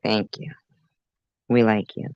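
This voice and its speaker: A woman's voice: rumbling low, flat delivery, slow pace, clean tone, no room sound, highs cut off. A woman reacting to a clip with dry, sarcastic asides. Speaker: commentary YouTuber.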